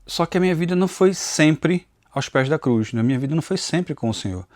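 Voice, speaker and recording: A man's voice: on the high side, animated, brisk, a little mellow, no echo, studio quality. A man with a mixed Recife and inland Pernambuco accent, giving an earnest, confessional devotional talk as a channel host, recorded on a phone camera. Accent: Recife, Brazil, mixed with inland Pernambuco. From Northeast Brazil. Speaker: Bible teacher and channel host.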